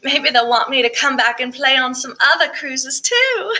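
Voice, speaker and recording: Woman playing a bright, hopeful, playful mom. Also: high voice, sing-song, normal speed, heavily acted. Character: cheerful, hopeful mother, music-loving and playful.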